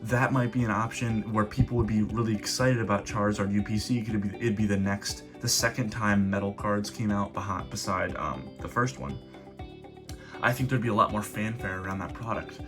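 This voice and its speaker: A man's voice: on the low side, steady tone, brisk. Man with a cold, over guitar music. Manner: rambling, restarts often. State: coming down with a cold. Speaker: host of a card collecting channel. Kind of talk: casual explainer monologue. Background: background guitar music.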